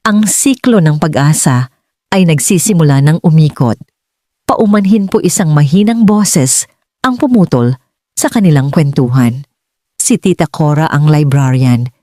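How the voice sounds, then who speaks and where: A Filipino-accented woman narrating a scripted dramatic story in a measured, earnest way, as for an audiobook. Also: low voice, animated, warm tone, dry recording, clean studio sound.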